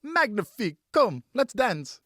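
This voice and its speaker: A man's voice: extremely high pitch, sing-song, a little slow, fairly bright tone, no echo, studio quality, voice pushed up, heavily acted. A man voicing an exuberant, bubbly society hostess, exclamatory and a little bossy, in an audiobook. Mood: delighted. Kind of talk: audiobook character dialogue.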